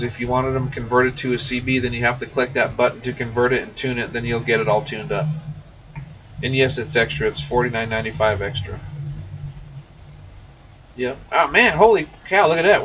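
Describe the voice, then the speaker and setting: A man's voice: mid-range voice, steady tone, fairly quick, a bit bright. A man hosting a casual shop livestream, reading and answering viewer comments in a folksy, informal way. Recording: muffled, distant mic with faint noise.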